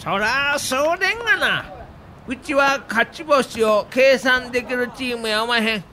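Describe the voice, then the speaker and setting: A man's voice: very high voice, measured pace, bright, forceful tone, slight background noise, exaggerated voice. A male actor playing Kansai-accented baseball men quoted in an audio documentary about their championship season. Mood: firm, earnest. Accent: Kansai Japanese.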